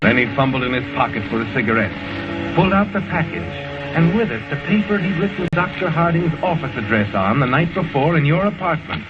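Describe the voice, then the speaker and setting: A man acting as the grim, knowing narrator of an old radio suspense drama, addressing someone in a deliberate, dramatic way. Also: fairly high pitch, animated, lightly acted. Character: male narrator grimly addressing a guilty woman. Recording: dull old radio recording, loud music behind.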